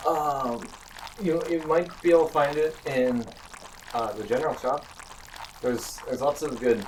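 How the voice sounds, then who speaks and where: An excitable slime creature from a tabletop game, acted out by a man, pitched well up and flustered. It apologises eagerly and repeats itself, a little far from the mic. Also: unhurried, slight background noise, lightly acted. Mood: helpful.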